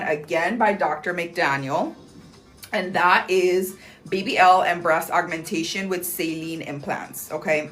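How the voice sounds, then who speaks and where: A young woman with a slightly low voice chatting on a livestream, bubbly and full of slang and fillers. She reads viewer comments aloud in a loose, rambling way, with soft music playing faintly behind her. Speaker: livestream host promoting clinic deals. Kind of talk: casual livestream chat, reading viewer comments.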